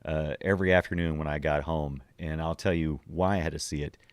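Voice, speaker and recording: A middle-aged man with a low voice hosts a casual podcast, recorded close and dry. He rambles in a jokey way, circling back over his phrases. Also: steady tone, a little fast, clear, resonant tone, no echo. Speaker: podcast host. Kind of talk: casual nostalgic chat.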